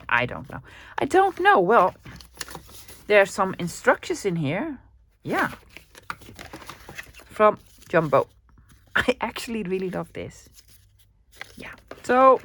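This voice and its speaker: A woman's voice: on the low side, slight background noise. A woman from the Netherlands with a Dutch accent, chatting upbeat and casually in a crafting haul video, with small laughs and ums. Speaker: crafting vlogger. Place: home room at the end of the day.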